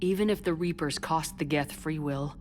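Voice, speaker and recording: An actress playing a tough, direct military commander in a video game, blunt and probing. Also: female voice, deep voice, moderate pace, darkish tone, faint music behind, natural voice. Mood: serious.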